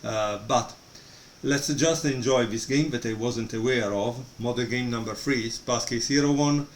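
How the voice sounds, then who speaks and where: Guy rambling through a book review, lots of uhs, middle-pitched voice, faint noise in the room. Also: unhurried, echoey room. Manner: hesitant, many fillers. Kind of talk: casual video review. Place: room at home, storm outside.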